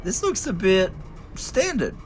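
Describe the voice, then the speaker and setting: A man's voice: high voice, big pitch swings, measured pace, music in the background. A man playing a sarcastic, grumbling time traveler's companion in a sci-fi audio drama. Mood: wry. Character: sardonic, exasperated male companion of a time traveler.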